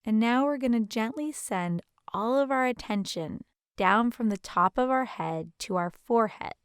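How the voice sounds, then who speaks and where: Woman, meditation guide, clear smooth voice, gentle and soothing, unhurried delivery, close dry sound. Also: medium pitch, dry recording.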